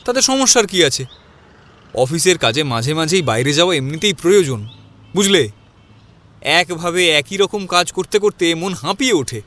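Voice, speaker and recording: Higher-voiced, expressive male storyteller. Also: moderate pace, in a large hall. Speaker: first-person narrator. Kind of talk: scripted story narration with acted dialogue.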